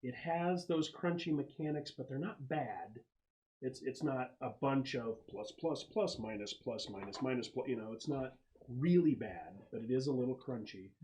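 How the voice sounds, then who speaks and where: A man's voice: mid-range voice, moderate pace, dark tone. A man acting as game master, narrating a tabletop game in a casual, conversational way. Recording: noisy room with clicks.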